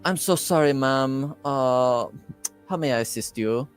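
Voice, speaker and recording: A man acts out a flamboyant, scrawny perfume seller in a tabletop game. He is formal and deferential, speaking slowly in a clean, smooth voice over faint music. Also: mid-range voice, natural voice. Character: flamboyant, scrawny, classy male perfume shop manager.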